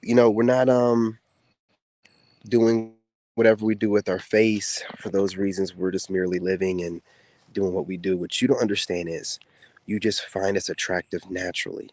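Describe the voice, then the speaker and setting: Man, low voice, soft-spoken, laid-back drawl, lots of fillers, casual to-camera monologue. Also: fairly even intonation, normal speed, no echo. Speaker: content creator speaking to women viewers. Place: outdoors.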